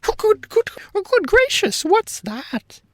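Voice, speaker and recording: A man voicing a small, blustering gnome king who gloats and yelps in fright, speaking in a drawn-out way. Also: medium pitch, huge pitch swings, dry recording, clean studio sound, voice pushed up, full character voice. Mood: panicked.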